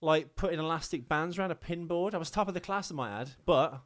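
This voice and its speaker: A man's voice: fairly high pitch, fast talker. A British man hosting a casual comic podcast, chatting in a rambling, self-deprecating way. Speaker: podcast host.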